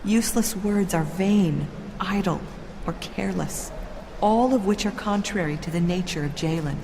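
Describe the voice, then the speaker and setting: A woman playing a serene, solemn royal ruler of a heavenly city in an audio drama, speaking in a measured, formal, instructive way. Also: fairly low pitch, background noise, lightly acted. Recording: long hall reverb with music behind.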